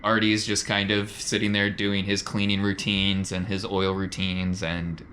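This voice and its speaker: A man with a fairly low voice runs a tabletop game. He narrates casually as game master, with playful and informal descriptions. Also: monotone, measured pace, pushed and bright, slight background noise.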